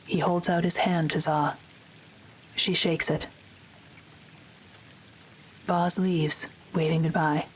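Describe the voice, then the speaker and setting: A woman reads scripted audio drama narration. Her voice is very low and flat, matter-of-fact and measured, with faint noise in the background. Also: rough recording.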